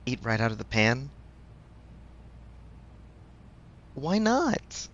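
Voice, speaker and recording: A man's voice: mid-range voice, sing-song, measured pace, a bit bright, highs cut off. A man playing a supportive best friend in a casual audio roleplay, speaking frankly with affectionate teasing. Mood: playful, teasing. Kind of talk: ASMR audio roleplay, conversational.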